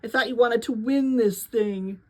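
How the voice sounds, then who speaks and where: A woman's voice: a little high, a little slow, a little mellow, natural voice. A woman voicing a stubborn, grumbling teenage boy in a storybook reading, defensive and proud. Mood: defensive, heated. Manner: grumbling, indignant.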